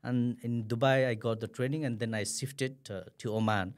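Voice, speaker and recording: A man's voice: medium pitch, fairly even intonation, moderate pace. A Nepali man with a Nepali accent, a non-native English speaker answering interview questions hesitantly with many fillers. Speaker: guest, returned migrant worker.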